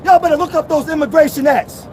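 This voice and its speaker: Philly man, protest orator, loud and profane, outdoors with light street noise. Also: very high voice, steady tone, fast. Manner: shouting, emphatic, profane. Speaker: protest speaker. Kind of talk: impassioned street speech, then street chat.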